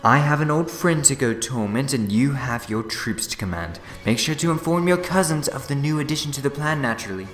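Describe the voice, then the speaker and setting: An actor playing a gleefully smug, scheming time-travelling villain in a fan audio drama, theatrical, wheedling and gloating. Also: male voice, medium pitch, lively intonation, fairly quick, hardly any pauses, fairly bright tone, natural voice. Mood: mock-jovial. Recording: echoey long reverb, music behind.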